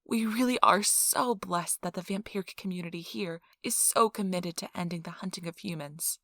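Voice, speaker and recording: A woman performs an earnest, cheerful female donor recruiter in a soft-spoken ASMR role-play. Her voice sits a little low for a woman. She talks in polite, reassuring customer-service patter, and the sound is very dry, with no room echo. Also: moderate pace. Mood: upbeat, reassuring. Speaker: donor acquisition interviewer.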